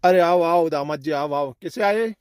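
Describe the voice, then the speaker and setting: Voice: medium pitch, moderate pace, darkish tone, a little hiss or hum, lightly acted. A performer voicing a genial older father-in-law in a cartoon comedy, placating and drily witty. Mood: welcoming.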